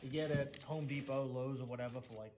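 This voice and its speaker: A man's voice: mid-range voice, fairly even intonation, brisk, very noisy. A man with a Boston accent giving a casual, chatty how-to demonstration in his home workshop, with loose folksy asides. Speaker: DIY woodworking channel host.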